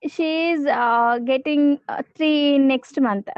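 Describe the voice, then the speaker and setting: A woman from South India with a South Indian accent, a housewife learning English, speaking in halting simple sentences on a video call. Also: high-pitched, dry recording. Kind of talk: hesitant learner conversation. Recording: dry, dull lo-fi call audio.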